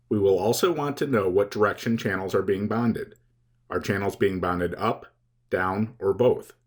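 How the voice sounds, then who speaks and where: Male tutorial presenter, slightly deep voice, reading scripted how-to material in a measured, methodical manner. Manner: measured, step-by-step explaining. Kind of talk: scripted instructional narration.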